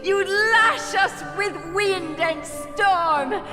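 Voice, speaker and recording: A woman's voice: very high voice, full character voice. A woman playing a grieving desert mother in a video game, praying to her goddess in a solemn, dramatic way. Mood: bitter. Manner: slow, solemn, prayerful. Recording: long reverb under prominent music.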